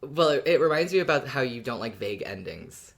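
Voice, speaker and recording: A woman's voice: very deep voice, lively intonation, fairly quick, creaky. A woman co-hosting a fan podcast in a casual, conversational style. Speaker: podcast co-host.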